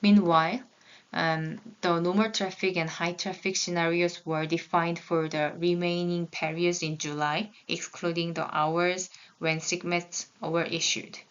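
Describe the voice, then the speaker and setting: A woman's voice: lowish voice, little pitch movement, a little slow, clean tone. A woman whose first language is Korean, presenting research results in English, reading carefully with small halts and restarts, on a slightly dull recording. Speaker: graduate student presenting research.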